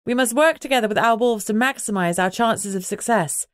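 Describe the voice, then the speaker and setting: A woman voicing a brisk, resolute female alpha werewolf in an audiobook, firm and instructing. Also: fairly high pitch, no echo, clean studio sound, pitched above the performer's own voice, natural voice. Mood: rallying, urgent.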